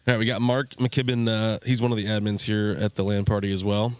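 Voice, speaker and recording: A man's voice: on the low side, completely flat delivery, fairly quick, clear, resonant tone. A man hosting a podcast, interviewing guests in an easygoing, encouraging way. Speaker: podcast host. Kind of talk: casual interview.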